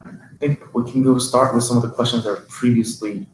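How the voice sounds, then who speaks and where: A young man from South Korea, a student panelist chatting casually with frequent fillers. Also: mid-range voice. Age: young adult. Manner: relaxed, halting with fillers. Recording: roomy with faint hiss.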